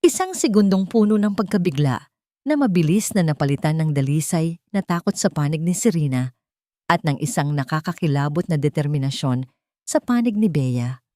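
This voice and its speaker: A woman's voice: low-pitched, expressive, moderate pace, mellow, no room sound, studio quality. A woman with a Filipino accent reading a scripted story as a dramatic narrator.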